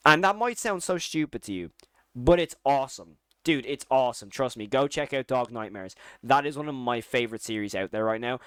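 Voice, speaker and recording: Male online host, medium-low pitch, crisp and dry sound, casual, opinionated and enthusiastic delivery with informal slang. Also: animated, brisk, a bit bright, no room sound. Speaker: YouTube host ranking horror series.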